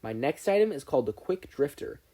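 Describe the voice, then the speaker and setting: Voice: medium pitch, big pitch swings, fairly quick, dark tone. A performer voicing a nervous but eager young teenage boy inventor in an audiobook-style story reading. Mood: earnest, explaining.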